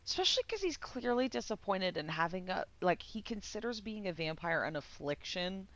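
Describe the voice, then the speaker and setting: A woman giving casual live game commentary, excitable and giggly, swearing and meandering as she thinks aloud. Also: mid-range voice, moderate pace, lo-fi sound. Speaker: game streamer.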